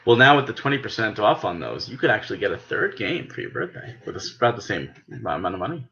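A man's voice: lowish voice, big pitch swings, fast, bright, forceful tone, dull audio. A man with an American accent talking casually and persuasively about things as a podcast host, emphatic and explanatory. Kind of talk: casual gaming podcast discussion.

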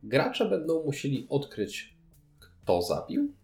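A man's voice: mid-range voice, huge pitch swings, slow, creaky, a little mellow, echoey room, soft background music. A man chatting casually as co-host of a board game review show. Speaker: podcast co-host.

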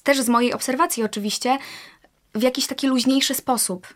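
A woman's voice: sits high, fairly even intonation, brisk. A young woman from Poland, a guest in a casual interview, talking thoughtfully in long searching sentences with many fillers. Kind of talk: casual conversation.

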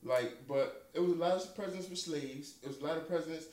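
A man's voice: a little high, fast, darkish tone, distant mic. A thirty-year-old man in casual podcast chat, reasoning in a rambling, argumentative and profane way. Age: 30s. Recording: echoey room, away from the mic.

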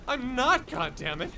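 A man's voice: very high-pitched, heavily acted. An actor playing a weary, sarcastic male war correspondent dragged from his bed. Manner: sarcastic, exasperated. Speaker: radio broadcaster figure. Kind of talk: audio drama acting.

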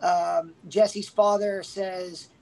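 Relaxed, knowledgeable male podcast co-host. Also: high voice, drawn-out words, gravelly. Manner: relaxed, knowledgeable, frequent fillers and restarts. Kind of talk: casual movie review chat.

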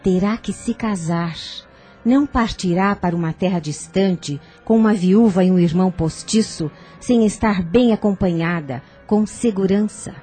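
A woman playing a firm, persuasive older aunt in a radio soap opera, genteel, formal and measured. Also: medium pitch, warm tone, soft background music, lightly acted. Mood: decisive, coaxing.

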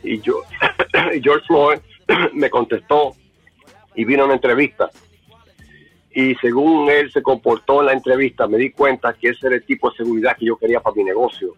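A man from the Dominican Republic talks earnestly with a husky, breathy voice. His sound is dry and close but comes through a dull, muffled line. He often repeats a word while he searches for the next one. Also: medium pitch, normal speed, pauses often, no echo, soft background music. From Dominican Republic, raised in Puerto Rico. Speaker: interviewee, bar owner. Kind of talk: remote interview.

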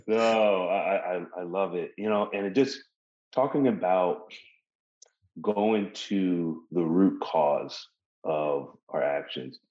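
Deep-voiced man in a roomy space. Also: slow pace, darkish tone. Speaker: podcast host. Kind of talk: casual conversational interview. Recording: roomy echo, dull lo-fi sound.

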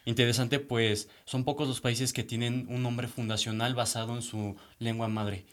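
A man's voice: mid-range voice, little pitch movement. A man, co-hosting a radio discussion and thinking aloud with fillers like "este" and "o sea". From Mexico. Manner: thoughtful, fills pauses with "este" and "o sea".

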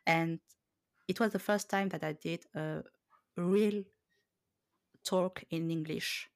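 A woman hosting a podcast, talking slowly and haltingly and restarting her words often. Her voice sits very low for a woman, and the sound is dry and close. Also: steady tone, no room sound. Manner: hesitant, halting, many restarts. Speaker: podcast host. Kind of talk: casual podcast conversation.

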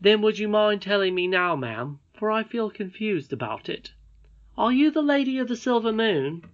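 A woman's voice: medium pitch, sing-song, normal speed, pitched above the performer's own voice, close to an everyday voice. A woman voicing an earnest, wondering young boy miner while reading a storybook aloud. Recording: dull lo-fi recording.

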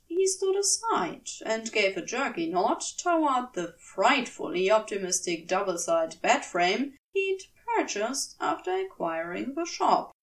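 Female fiction narrator: high voice, unhurried, expressive, slightly back from the mic. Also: recorded from a distance. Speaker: podfic reader. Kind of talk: expressive scripted story narration.

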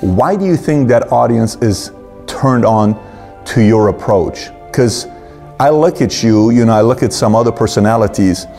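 A man hosting a conversational podcast interview, sometimes halting and repeating words as he forms his thoughts. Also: medium pitch, warm tone, faint music behind. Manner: halting, repeats words while forming questions.

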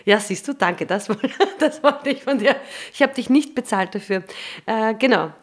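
A woman's voice: on the high side, expressive, normal speed, husky. A woman hosting a podcast interview, friendly and affirming with frequent laughter. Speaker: podcast host.

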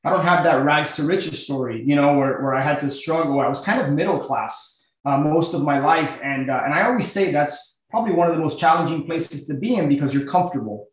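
Middle aged guy from miami guesting on a podcast, earnest casual storytelling, voice a bit high, super echoey. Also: fairly quick, few pauses. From Miami, United States. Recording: very echoey, roomy sound.

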